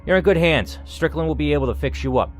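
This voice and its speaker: A man's voice: fairly high pitch, fast talker, pitched above the performer's own voice, close to an everyday voice. A man voicing a fiery, exhausted woman facility worker in a game story, blunt, defiant and sarcastic. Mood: frank, relieved. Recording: music under the voice.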